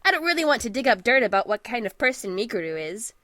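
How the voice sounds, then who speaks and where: Breezy, energetic teenage girl, acted by a woman, high and very animated, folksy, audio drama. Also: fast talker, dry recording, studio quality. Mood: frank, friendly. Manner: energetic, folksy, laughs easily. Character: cheerful, breezy, perceptive teenage schoolgirl. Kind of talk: audio drama character acting. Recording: music behind the voice.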